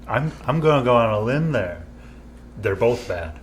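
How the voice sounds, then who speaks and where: A man guesting on a casual podcast who talks softly and drops dry, wry remarks. His pitch sits in the middle range, with a faint hiss in the background. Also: lively intonation, moderate pace. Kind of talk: casual chat.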